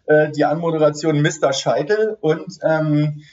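A man's voice: on the high side, measured pace, a little mellow, muffled recording. A man hosting a casual podcast interview. He chats, teases his guest and laughs easily. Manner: chatty, teasing, laughs easily. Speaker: podcast host.

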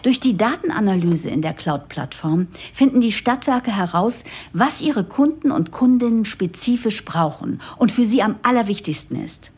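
An actress playing a determined new managing director who narrates an audio drama, expressive, with a breathy, husky voice. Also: female voice, mid-range voice, normal speed, hardly any pauses. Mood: eager, persuasive. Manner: expressive, explanatory. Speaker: narrator and lead character. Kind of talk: German audio drama, first-person narration and dialogue.

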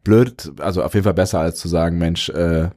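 A man's voice: sits low, steady tone, a little fast, darkish tone. A man co-hosting a podcast in casual chat, often losing his thread and laughing. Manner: rambling, loses track mid-sentence, laughs often. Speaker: podcast co-host.

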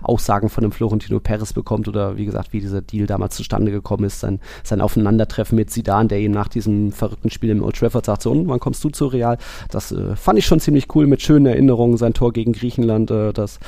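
A man's voice: on the low side, steady tone, fast, gravelly, mellow, no room sound, clean studio sound. A man hosting a football podcast, talking casually and informally in a chatty way. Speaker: podcast host.

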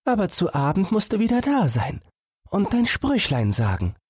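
Storybook little sister, caring but anxious girl, very high, tender voice done by a male reader, dry sound. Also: wildly swooping pitch, normal speed, darkish tone, husky, dry recording, exaggerated voice. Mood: anxious admonishing. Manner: tender, admonishing.

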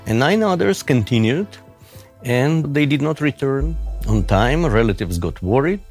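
A middle-aged man with a Russian accent, a professor giving measured, deliberate interview answers with occasional fillers. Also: mid-range voice, creaky, darkish tone. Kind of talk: interview answers, recounting a case.

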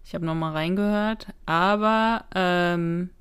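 A woman's voice: on the low side, slow, clean tone. A woman co-hosting a podcast, chatting casually in a matter-of-fact way. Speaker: podcast co-host.

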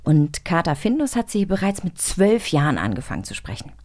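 A woman's voice: on the low side, big pitch swings, a little fast, a little mellow. A woman co-hosting an interview show casually, with an effusive, playful and informal way of talking. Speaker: podcast co-host.

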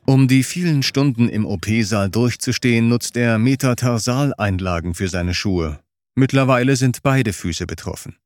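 A man narrating a scripted documentary story in a measured, clearly articulated way. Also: medium pitch, a little mellow, no room sound, clean studio sound.

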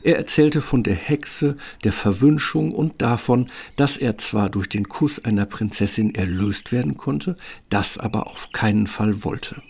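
A man's voice: fairly low pitch, unhurried, gravelly, darkish tone, husky. A man narrating a fairytale radio play as a scripted storyteller.

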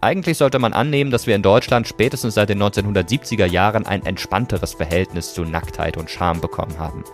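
A man's voice: lowish voice, fast, faint music behind. A man hosting a podcast, presenting scripted narration with some conversational phrasing. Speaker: podcast host.

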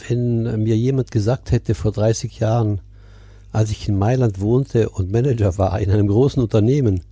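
An older man in his seventies speaks in a very dark, husky voice. He is from Milan and recounts personal experiences in a deliberate, reflective way, as a guest on a podcast. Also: on the low side, no echo. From Milan, Italy. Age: 70s. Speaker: coach, interview guest.

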